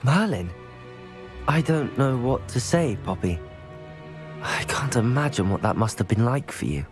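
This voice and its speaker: An actor playing a calm, supportive young male student in video game dialogue, speaking in an encouraging way. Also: medium pitch, a little slow, over music. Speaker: game player character.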